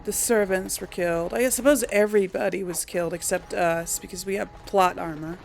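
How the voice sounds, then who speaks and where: A woman's voice: medium pitch, steady tone, fairly quick, creaky. A woman giving casual game commentary, musing aloud to herself and her audience. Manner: musing, talks to self and audience. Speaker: game streamer, let's-player. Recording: game music and sounds behind.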